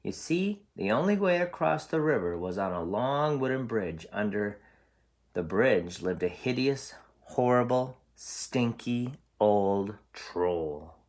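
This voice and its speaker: Man, slightly low voice, teacher, children's read-aloud, simple explanatory delivery. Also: lively intonation, slow pace, a little mellow. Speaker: teacher reading aloud to pupils.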